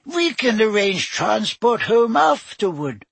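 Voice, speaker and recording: A man voicing a tiny old male professor with a squeaky, high voice. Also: sing-song, slow, vocal fry, a bit bright, husky, no room sound, heavily acted. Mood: eager.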